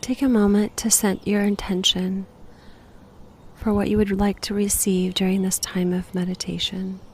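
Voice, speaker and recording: Creaky-voiced woman leading guided meditation. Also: mid-range voice, little pitch movement, normal speed, a little mellow, a little hiss or hum. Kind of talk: guided meditation, slow reflective prompts.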